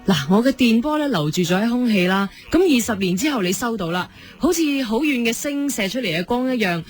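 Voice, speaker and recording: A woman's voice: a little high, lively intonation, fairly quick, natural voice. A woman playing an unhappily married, curious and wistful wife in a radio drama, speaking hesitantly with a Hong Kong Cantonese accent. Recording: radio drama with music underneath.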